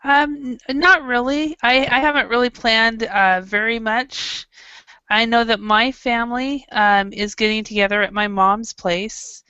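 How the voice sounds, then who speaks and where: A woman chatting casually as an online English teacher, often pausing and repeating words. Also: on the high side, steady tone, sharp tone, clear, resonant tone, no echo. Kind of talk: casual group lesson chat. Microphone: online voice call. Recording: slightly dull, compressed online audio.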